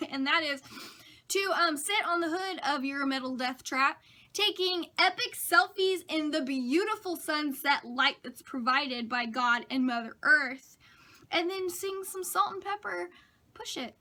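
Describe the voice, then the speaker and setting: A young woman, a bit hoarse from a cold, telling funny stories about her week to camera in a chatty, self-mocking way. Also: sits high, pushed and bright. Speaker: vlogger. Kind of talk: casual chat to camera.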